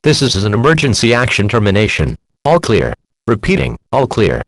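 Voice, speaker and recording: A man's voice: medium pitch, wildly swooping pitch, moderate pace, very noisy. A man playing a stern, impersonal emergency alert announcer, reading a formal scripted broadcast. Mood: formal, official.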